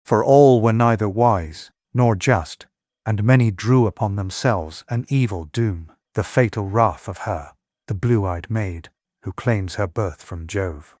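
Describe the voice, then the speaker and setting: A man's voice: on the low side, slow, a little mellow, no echo, studio quality. An actor playing a grave, long-winded old warrior king, recounting war in stately oratory for an epic audiobook drama.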